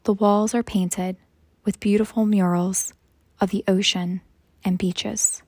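A woman guides a meditation in a soft, breathy, hushed voice, measured and soothing, leaving long pauses between phrases. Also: medium pitch, little pitch movement, dry recording. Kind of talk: slow guided meditation narration.

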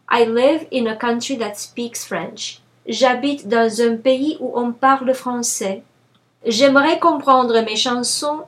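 A woman hosting a language lesson podcast, delivering scripted phrases in a deliberate, clearly separated way. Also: high voice. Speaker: language teacher and podcast host.

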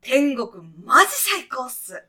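A woman's voice: sits high, animated, slow pace, pushed and bright, exaggerated voice. A woman playing a brash, breezy guide to heaven in a comic radio sketch, talking in punchy, clipped, casual slang like a sporty junior employee. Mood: upbeat, brash.

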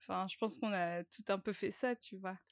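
A woman co-hosting a casual podcast, chatty, often pausing to search for her words. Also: medium pitch, no echo, clean studio sound. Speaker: podcast co-host.

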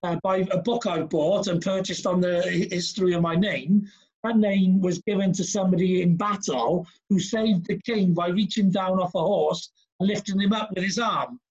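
A man's voice: high voice, steady tone, moderate pace, a little mellow, slightly rough, highs cut off. A man with a Welsh accent hosting a podcast, chatting casually over a video call with lots of fillers and restarts. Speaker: podcast host.

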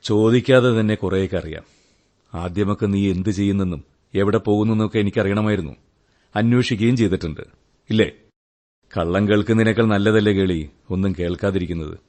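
An actor playing a wounded, world-weary young husband in a radio drama, sardonic and melancholy, speaking in measured phrases. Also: male voice, on the low side, a little mellow, close to an everyday voice. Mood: bitter, sardonic. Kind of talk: Malayalam radio drama acting.

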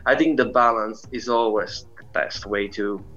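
A man's voice: on the low side, fairly even intonation, moderate pace, pushed and bright, highs cut off, faint music behind. A middle-aged man with an Italian accent talking casually as an interview guest, in a rambling manner peppered with filler phrases. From Italy. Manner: rambling, frequent "you know". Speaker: interview guest, indie musician.

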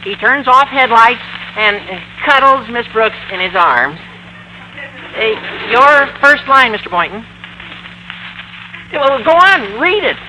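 An actor playing an eager, bossy teenage schoolboy in an old-time radio comedy, with a brash, squeaky adolescent delivery. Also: male voice, lively intonation, slow pace, long pauses, pushed and bright, exaggerated voice, poor audio. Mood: bossy, directing. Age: teenage character. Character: eager, bossy high-school boy, excitable schemer. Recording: vintage radio recording with faint noise.

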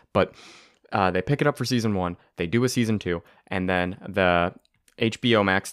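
Excitable young man ranting on a podcast. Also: on the low side, no echo, clean studio sound. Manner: excitable, rambling, swears, laughs often. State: tired voice. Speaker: podcast host. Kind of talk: casual podcast rant. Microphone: close to the mic.

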